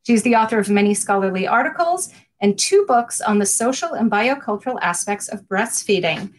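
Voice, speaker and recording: A woman's voice: mid-range voice, expressive, normal speed. A woman hosting an interview podcast, introducing her guest formally and then chatting with her in a friendly way. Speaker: podcast host.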